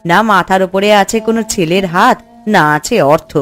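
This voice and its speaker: A woman's voice: mid-range voice, moderate pace, a bit bright, lightly acted. A woman voicing a poor village housewife in a cartoon, with music behind her. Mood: downcast. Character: poor, worried village housewife who cooks. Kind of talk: cartoon voice acting.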